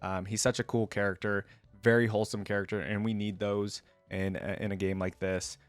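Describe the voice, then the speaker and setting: A man's voice: lowish voice, flat delivery, normal speed, smooth, dry recording, soft background music. A man hosting a reaction video, giving enthusiastic, rambling, off-the-cuff commentary in a casual way.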